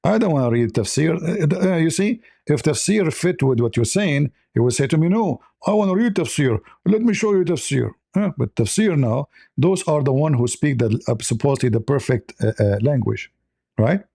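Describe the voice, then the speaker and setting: A man's voice: a little high, expressive, normal speed, creaky, warm tone, husky, no echo, studio quality. A man whose first language is Arabic, hosting a heated live debate, combative and mocking, repeating himself insistently. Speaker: debate stream host.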